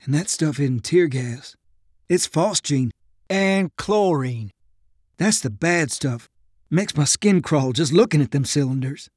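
A man voicing an uneasy army private in an audiobook war story, plain-spoken, halting and nervous. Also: on the high side, lively intonation, a little mellow, husky, no echo, clean studio sound, full character voice. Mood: hushed dread. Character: uneasy young army private spooked by danger.

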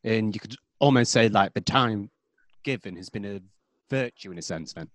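A man's voice: fairly low pitch, normal speed, a bit bright, dry recording. A man hosting a podcast interview, asking questions in formal, slightly flowery wording. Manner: formal, slightly florid phrasing. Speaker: podcast host.

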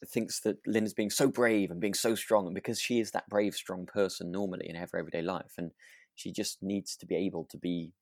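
Deep, creaky-voiced man. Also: fairly even intonation, brisk, darkish tone, dry recording, studio quality. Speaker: podcast co-host. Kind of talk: casual discussion of a radio drama.

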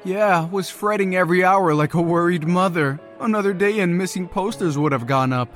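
A man's voice: high voice, moderate pace, fairly bright tone, faint music behind. A man playing a blunt, teasing young best friend in an audio drama, sarcastic in manner. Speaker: voice actor.

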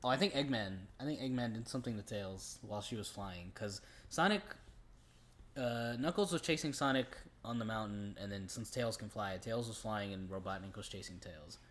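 A man's voice: lowish voice, normal speed. A man co-hosting a podcast, discussing movies in an informal, conversational way. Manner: informal, conversational, often says "like". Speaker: podcast co-host.

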